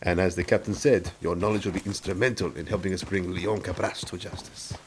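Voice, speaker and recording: A man's voice: deep voice, brisk, a little hiss or hum, close to an everyday voice. An actor playing a friendly, earnest Mexican lawman in a radio drama, polite and chuckling as he probes. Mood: probing, genial. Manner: courteous, chuckling, lively and probing.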